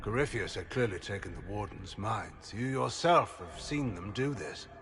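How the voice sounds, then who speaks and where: A man's voice: on the low side, lively intonation, normal speed. A man acting a stern, practical warrior companion in a fantasy video game, speaking firmly and matter-of-factly. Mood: firm, impatient.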